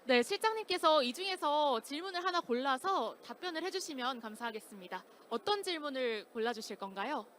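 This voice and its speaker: A woman's voice: high voice, lively intonation, brisk, few pauses, fairly bright tone, a little hiss or hum. A woman hosting a conference session, with formal, very polite announcer phrasing. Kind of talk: formal, scripted-sounding event hosting. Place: conference hall stage, amplified talk.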